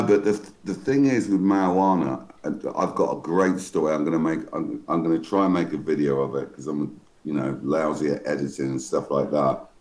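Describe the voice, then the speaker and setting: Gruff, very deep-voiced man. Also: darkish tone. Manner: rambling, self-interrupting storyteller. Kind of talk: casual group chat. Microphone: online call.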